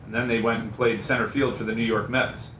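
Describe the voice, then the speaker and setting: A man's voice: mid-range voice, level tone, brisk, pushed and bright. A man on a radio show crew, talking casually in on-air banter. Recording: roomy, off-mic, faint background noise.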